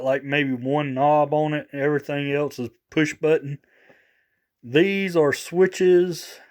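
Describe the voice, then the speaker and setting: A man with a smooth, clear voice pitched a little high presents gear in a casual vlog. He talks slowly and haltingly, wandering through his points and circling back over his own words. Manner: rambling, halting, repeats himself. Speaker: vlogger showing radio gear. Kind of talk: casual product showcase.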